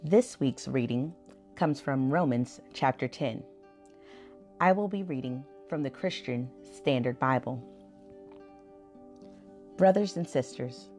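A woman reading Bible scripture aloud for a podcast in a measured, solemn manner. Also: very deep voice, a little mellow.

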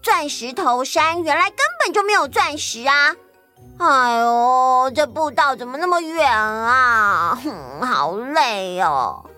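A woman's voice: high-pitched, lively intonation, slow pace, bright, forceful tone, heavily acted. A woman voicing a chatty, boastful, whiny friend in a children's audio story, full of exclamations and self-importance. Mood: whiny complaint. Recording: music under the voice.

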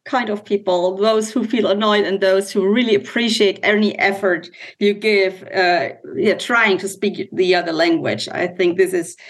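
A woman's voice: mid-range voice, moderate pace, few pauses. A woman from Germany with a German accent, chatting as a podcast guest and searching for words in English. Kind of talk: casual conversation. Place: video call.

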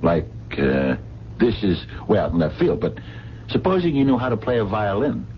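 Blunt, deep-voiced diner man. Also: wildly swooping pitch, moderate pace. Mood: casual, probing. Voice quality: colloquial, plain diction. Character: skeptical, plain-spoken working man at a diner. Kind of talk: radio drama acting. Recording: dull, noisy 1970s radio recording.

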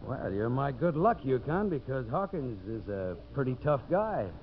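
An actor playing a tough, glib, smooth-talking timber boss in a 1950s radio drama. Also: male voice, mid-range voice, very animated, moderate pace, slight background noise, natural voice. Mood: smug, confiding. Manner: glib, brash. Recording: muffled old radio recording.

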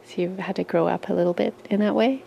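A woman conducts a casual interview in a slightly low voice. There is faint noise and room ambience around her. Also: fairly even intonation, fast talker, gravelly, dark tone, lo-fi sound.

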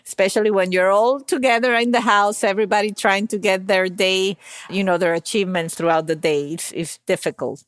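A woman's voice: medium pitch, steady tone, a little fast, dry recording. A woman in her thirties or forties hosting a podcast in a casual, conversational way. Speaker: podcast host.

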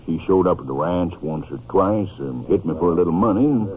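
An actor playing a humble, regretful older Texas rancher in a 1950s radio drama, speaking in a folksy, halting American voice. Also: male voice, low voice, animated. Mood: reluctant. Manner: folksy, halting drawn-out delivery. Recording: muffled, noisy old radio recording.

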